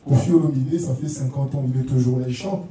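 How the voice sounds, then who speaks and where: A man's voice: mid-range voice, quick pace, mellow. A man with a Congolese French accent speaking informally as an artist in a panel discussion, often repeating words. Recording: very echoey room, distant mic, faint noise.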